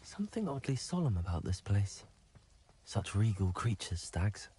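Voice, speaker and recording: Earnest student wizard in a game, measured but lively pitch, soft mellow tone, bit of background noise. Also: male voice. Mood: musing. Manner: polite, measured, musing. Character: earnest, composed teenage male wizard student hero. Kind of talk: video game character acting.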